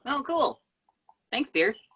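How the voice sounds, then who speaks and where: A woman playing an excitable, goofy young man in an amateur sitcom table read. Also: high voice, slow, a little hiss or hum, heavily acted. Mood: cheerful.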